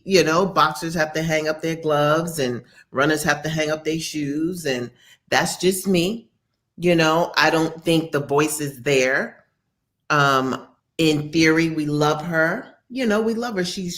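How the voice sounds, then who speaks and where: A woman, chatting candidly as a podcast co-host and acting out quotes. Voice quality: deep voice. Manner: candid, animated storytelling with acted quotes. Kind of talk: casual opinion chat.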